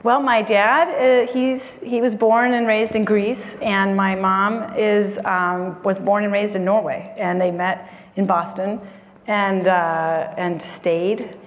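A woman's voice: medium pitch, steady tone, takes it slowly. A woman in her thirties from the United States, a medical writer chatting as a guest on a live podcast, with frequent laughs and fillers. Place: conference stage before an audience. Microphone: lapel mic. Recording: long hall reverb.

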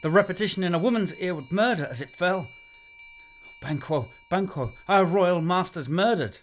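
A man's voice: sits high, animated, moderate pace, slight background noise. A man playing an urgent, loyal nobleman in a classical Shakespearean radio drama, recorded in an echoing room. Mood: horrified, urgent. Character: urgent, loyal Scottish nobleman, horrified by murder.

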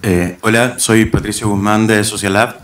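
A man's voice: on the low side, fairly even intonation, moderate pace, fairly bright tone. A young man with a Chilean accent asking a question from the floor at a panel, friendly and repeating words as he thinks, speaking into a desk microphone in a large chamber. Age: young adult. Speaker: audience member asking a question.

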